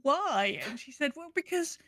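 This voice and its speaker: Higher-voiced British woman, chatty and emphatic. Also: animated, a little slow, a bit bright, no room sound, clean studio sound. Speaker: podcast co-host. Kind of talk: casual chat.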